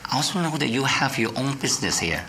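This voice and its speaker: A man's voice: mid-range voice, sing-song, quick pace, sharp tone. A man hosting a studio interview, prompting his guest with questions and frequent short acknowledgments. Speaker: interview host. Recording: studio with clear background noise.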